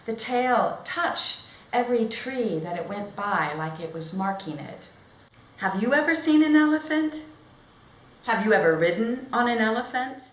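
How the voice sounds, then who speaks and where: A woman teacher reading a storybook aloud as a lesson for young learners, speaking in simple, deliberate phrases with pauses and questions to her listeners. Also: mid-range voice. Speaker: teacher demonstrating read-aloud techniques. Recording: echoey room with clear background noise.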